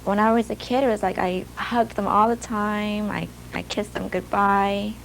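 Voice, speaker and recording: Young woman, soft and halting, reflective, gravelly fry, faint hiss, older video clip. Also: medium pitch, level tone, rough recording. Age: young adult. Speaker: former student telling her story in a video. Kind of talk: personal testimony. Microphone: archival video clip played during a webinar.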